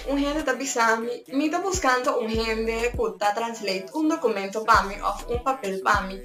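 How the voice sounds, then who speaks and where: A woman's voice: fairly high pitch, fairly bright tone. A woman from Curaçao with a Papiamento accent, explaining ways to earn from home in a chatty, casual vlog that mixes languages. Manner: chatty, switching between languages. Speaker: YouTuber. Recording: echoey room with faint music.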